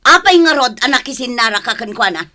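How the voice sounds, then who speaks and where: A woman's voice: a little high, lively intonation, fairly quick, bright, forceful tone. An actress playing a scolding, disapproving middle-aged mother in a radio drama. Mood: angry, nagging.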